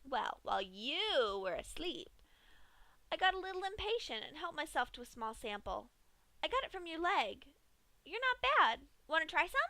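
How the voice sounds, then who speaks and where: A woman's voice: high voice, very animated, normal speed, pushed and bright, no room sound, voice pushed up, heavily acted. A woman voicing a giddy, sadistic female pink pony who talks in a chirpy, singsong way. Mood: bubbly. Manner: chirpy and singsong, cheerfully menacing.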